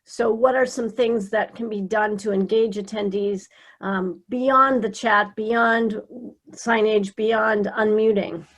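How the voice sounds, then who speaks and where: A woman's voice: mid-range voice, steady tone, takes it slowly. A woman presenter asking a poised, articulate question on a video call. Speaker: meeting presenter.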